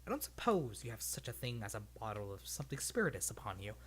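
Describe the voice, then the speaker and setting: A storyteller voicing a little hairy forest man from a fantasy storybook, gruff and bossy. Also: mid-range voice, sing-song, fast talker, very noisy, voice pushed down, close to an everyday voice. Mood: hopeful, wheedling.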